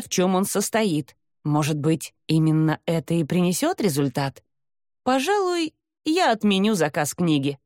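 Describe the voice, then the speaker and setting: Woman, first-person fiction narration, expressive, swooping intonation, dramatic delivery, mid-pitched, very dry recording. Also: no room sound. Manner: dramatic, acts out dialogue. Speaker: audiobook narrator.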